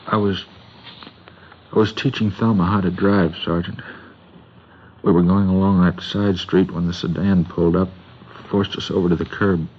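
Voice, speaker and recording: A man acting as a wounded schoolteacher in his thirties in a 1950s radio drama, halting, pained and earnest. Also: low voice, flat delivery, lightly acted. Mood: pained recounting. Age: 30s. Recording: muffled, hissy vintage radio recording.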